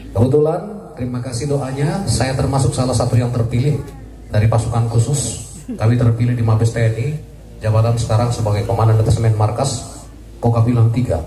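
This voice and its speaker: A man, a military officer, giving a joking, lively speech at a community gathering. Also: medium pitch, fairly quick. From Indonesia. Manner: jokey and lively, laughs at his own jokes. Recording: echoey, distant, crowd noise.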